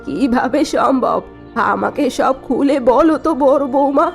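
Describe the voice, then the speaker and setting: A woman voicing a scheming mother-in-law, sharp. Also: high voice, animated, a little slow, creaky, faint music behind, full character voice. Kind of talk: cartoon voice acting.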